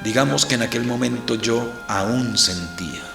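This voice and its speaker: A man's voice: medium pitch, moderate pace, creaky, echoey room, music in the background. A man playing a bitter, brooding priest who narrates his own trial in an introspective, literary way. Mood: bitter, wounded. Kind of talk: first-person dramatized narration.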